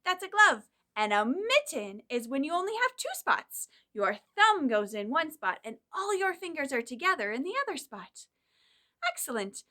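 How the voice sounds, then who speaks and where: Female children's storytime leader, bright and high, encouraging delivery aimed at little ones. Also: moderate pace. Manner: animated, encouraging, speaks directly to young children. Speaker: children's librarian leading storytime. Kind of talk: children's storytime with singing and reading aloud.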